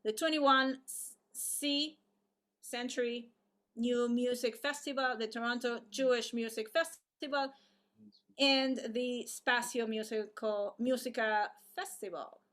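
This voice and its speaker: A woman hosting an online arts event over a video call, introducing a guest in a halting way with many fillers and restarts. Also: a little high, steady tone, fairly bright tone.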